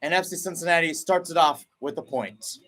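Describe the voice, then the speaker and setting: A young man doing excited play-by-play commentary of a soccer penalty shootout, with his pitch and volume leaping at each kick. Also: a little fast. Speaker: radio play-by-play announcer. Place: at home, not at the stadium.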